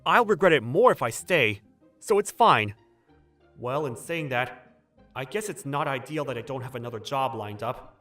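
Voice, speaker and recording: A man acting an earnest, put-upon young office worker who narrates and voices his troubles in a manga dub, with expressive delivery. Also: mid-range voice, moderate pace, faint music behind, close to an everyday voice. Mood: firm, defiant, then hopeful, musing.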